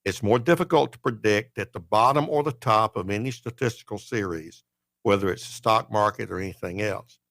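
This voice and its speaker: Creaky-voiced man, low and close. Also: fairly quick, a bit bright, no echo. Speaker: podcast panelist. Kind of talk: panel discussion commentary.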